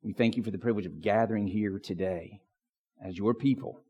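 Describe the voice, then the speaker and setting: A man preaching as a pastor, with a hoarse voice and lots of fillers and restarts. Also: fairly low pitch, brisk, warm tone. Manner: filler-heavy, restarts often. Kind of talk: prayer and sermon. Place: church sanctuary. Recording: long church reverb.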